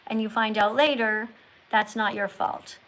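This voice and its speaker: High-voiced woman hosting a live chat. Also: fairly even intonation, unhurried, a bit bright, a little hiss or hum. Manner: probing, presses callers with follow-up questions; didactic, explains in short emphatic statements. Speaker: livestream host. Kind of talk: live call-in chat about veganism.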